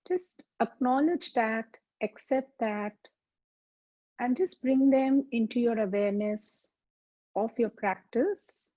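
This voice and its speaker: A woman teaching yoga as a slow guided meditation, speaking calmly and deliberately with soothing pauses. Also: fairly high pitch. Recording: dull, muffled lo-fi sound.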